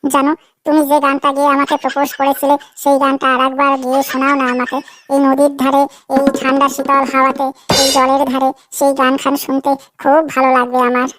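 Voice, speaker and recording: A very high, fairly even-toned young Bengali girlfriend from a comedy cartoon, acted by a woman. She is coy, demanding and quick to take offence, pleading and repeating herself. Some noise sits behind the voice. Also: close to an everyday voice.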